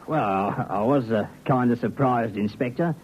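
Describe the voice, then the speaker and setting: A man's voice: medium pitch, normal speed, a little mellow, lightly acted. An actor playing a humble, hesitant, plain-spoken club doorman with folksy grammar in a vintage radio drama. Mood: hesitant, respectful. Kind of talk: 1950s radio drama acting. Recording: muffled old radio recording.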